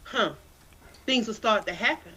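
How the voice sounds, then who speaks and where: A woman from South Carolina with a Southern accent talks animatedly as an interview guest. She often repeats words as she builds up a point, with a preacherly drive. Her voice sounds bright and forceful. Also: mid-range voice, unhurried, breathy edge. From Anderson, South Carolina. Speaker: guest, literacy campaign founder. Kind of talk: casual interview talk.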